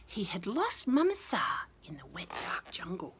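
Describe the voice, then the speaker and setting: A woman with an Australian accent reading a picture book to young children, adding chatty, affectionate asides in an expressive way. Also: medium pitch, a little slow, a little hiss or hum. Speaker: children's storyteller and teacher.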